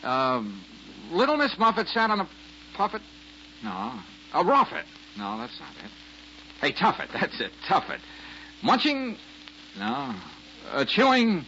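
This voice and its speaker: A folksy wisecracking radio detective. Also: male voice, sits high, huge pitch swings, vocal fry, pushed and bright, heavily acted. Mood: bumbling. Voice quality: halting, folksy, full of uhs. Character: wisecracking male detective, easygoing, sharp. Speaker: detective lead in radio drama. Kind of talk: 1940s radio acting. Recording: dull lo-fi old radio broadcast with faint hiss.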